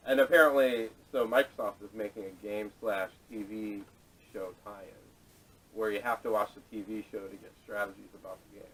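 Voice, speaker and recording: A man's voice: fairly even intonation, moderate pace, a bit bright. A man hosting a casual group chat about movies and games, recorded poorly on a camera microphone. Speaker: podcast host leading the topics. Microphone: camera microphone at a distance. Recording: distant camera microphone, noisy, rumbling.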